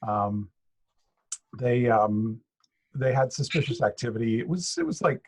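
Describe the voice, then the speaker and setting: A middle-aged man co-hosting a podcast, casually presenting news in a halting, wry way. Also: medium pitch, expressive, darkish tone, husky. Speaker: podcast co-host.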